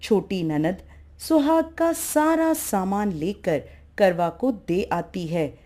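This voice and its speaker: A woman's voice: medium pitch, expressive, slow, mellow. A woman narrating a devotional folk tale as a storyteller.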